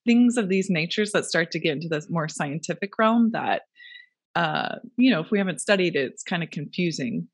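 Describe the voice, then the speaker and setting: A woman's voice: lowish voice, expressive, moderate pace, husky, dry recording. A woman hosting a podcast, chatting casually, friendly and affirming, thinking aloud as she frames her questions. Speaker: podcast host. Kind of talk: casual interview chat.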